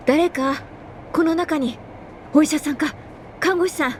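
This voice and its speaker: Woman acting desperate young man, high-pitched. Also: measured pace, slight background noise. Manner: urgent, pleading.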